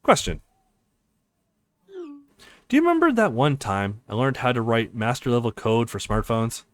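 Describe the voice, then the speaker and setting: A man's voice: medium pitch, very animated, unhurried, dry recording, studio quality. A man voicing a nerdy, smug female hacker with wordy, mock-formal phrasing in a visual novel. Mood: smug, teasing.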